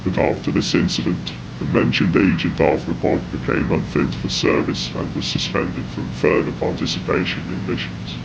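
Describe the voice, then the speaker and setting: A man reading scripted horror narration in a grave, measured way, with a gravelly, whispery voice. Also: fairly even intonation. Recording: dull, noisy lo-fi audio with rumble.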